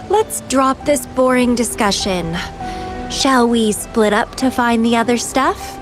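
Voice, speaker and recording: A woman's voice: a little high, animated, slow pace, music in the background. An actress playing a playful, carefree, enigmatic young woman in a video game, with a teasing, languid, theatrical delivery.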